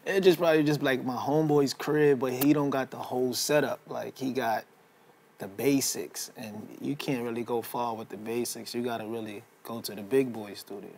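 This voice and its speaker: A man's voice: mid-range voice, steady tone, normal speed, darkish tone, a little hiss or hum. A man in his late twenties, a rap artist being interviewed, answering in a laid-back, slangy way and laughing easily. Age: 20s.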